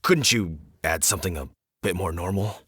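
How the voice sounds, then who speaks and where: A man's voice: low-pitched, moderate pace, fairly bright tone, breathy edge, no echo. A man voicing a pushy, exasperated food promoter in a video game, persuasive and emphatic. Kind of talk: animated game voice acting.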